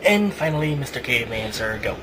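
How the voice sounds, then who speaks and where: A man's voice: medium pitch, big pitch swings, a little fast. A man hosting a casual, comedic podcast, chatting informally and moving the show along. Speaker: podcast host. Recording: noisy podcast line with static.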